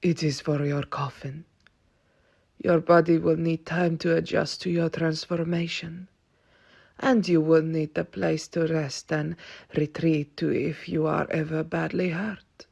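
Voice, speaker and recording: Ancient vampire matriarch and warrior played by a woman, stern and grave, gravelly breathy voice, slow dramatic pacing for audio drama. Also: deep voice, level tone, darkish tone, full character voice. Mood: grim, resolved. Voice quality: husky, breathy and creaky. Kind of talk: audio roleplay acting.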